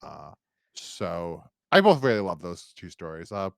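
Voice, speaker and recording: A man's voice: low voice, big pitch swings, a little slow, creaky, no room sound, studio quality. An American man hosting a podcast, talking casually and enthusiastically in a rambling fan-review style. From United States. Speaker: podcast host.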